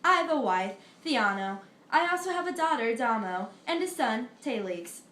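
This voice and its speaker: A woman's voice: fairly high pitch, expressive, fairly bright tone, reverberant. A teenage girl playing the philosopher Pythagoras in a school mock interview, reciting her answers earnestly with small slips. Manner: earnest, recited, a little halting. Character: ancient Greek philosopher Pythagoras, an older man, earnest. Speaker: student in a school history project.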